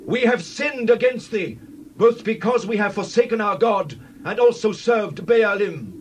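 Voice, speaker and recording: A man acts out a penitent Israelite in a Bible drama. The very high voice pleads in drawn-out phrases, with faint noise behind it. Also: lively intonation. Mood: penitent, pleading.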